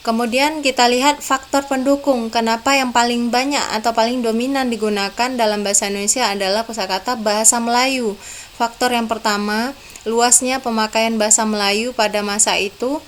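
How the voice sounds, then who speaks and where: A young woman lecturer giving a recorded university lesson over slides, explaining calmly and step by step. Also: mid-range voice, a little hiss or hum. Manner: explains in a calm, step-by-step way, often saying "ya".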